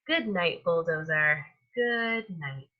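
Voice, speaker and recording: A woman reading a picture book aloud to young children as a storytime host, in an expressive manner that stretches out words and softens endings. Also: fairly low pitch, narrow, tinny audio. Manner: animated, with drawn-out words and hushed endings.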